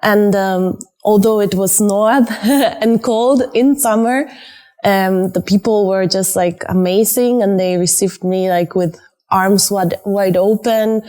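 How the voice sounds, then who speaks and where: A woman's voice: medium pitch, fairly even intonation, slow pace, dark tone. A young woman entrepreneur answering documentary interview questions in a chatty, enthusiastic way with many fillers.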